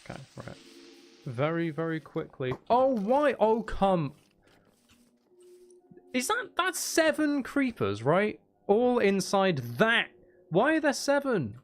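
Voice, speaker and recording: A man's voice: high-pitched, huge pitch swings, slow pace. A man giving casual live commentary while streaming a video game, muttering wry, exasperated asides to himself.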